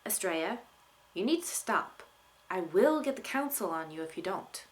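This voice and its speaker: A woman's voice: fairly low pitch, very animated, normal speed. This is a woman reading a young village man from a storybook. He is suspicious and threatening, and speaks in an accusing, needling way. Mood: warning.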